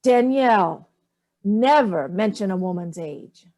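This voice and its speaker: A woman's voice: mid-range voice, lively intonation, slow pace, darkish tone. An older woman playing a haughty, evasive elderly mother in a staged play reading, dismissive and theatrical with barbed remarks. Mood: airy, cutting.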